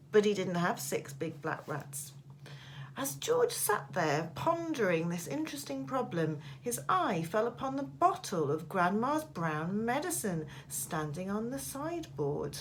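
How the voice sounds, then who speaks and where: A woman's voice: mid-range voice, fairly bright tone, slight background noise. A woman teacher reading a children's storybook aloud with dramatic, emphatic expression. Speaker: primary school teacher.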